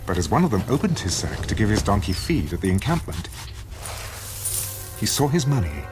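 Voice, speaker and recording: A low-voiced man narrates scripture as solemn audio theater. His delivery is very varied in pitch and scripted, with prominent music playing behind him. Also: big pitch swings, moderate pace. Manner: solemn storytelling. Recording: loud music bed.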